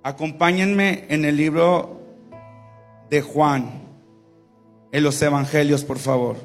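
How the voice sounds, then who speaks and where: A man's voice: fairly high pitch, steady tone, slow, faint music behind. Fervent young Spanish-speaking preacher man. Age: 20s. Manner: fervent, rising prayer with repeated invocations. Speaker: guest preacher. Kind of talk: evangelical sermon and prayer.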